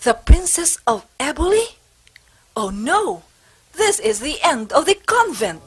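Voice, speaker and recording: Woman playing a solemn, slow-spoken prioress. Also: sits high, sing-song. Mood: dismayed. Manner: measured, solemn, courteous. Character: devout, composed, firm prioress, a Spanish nun. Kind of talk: amateur historical stage play acting.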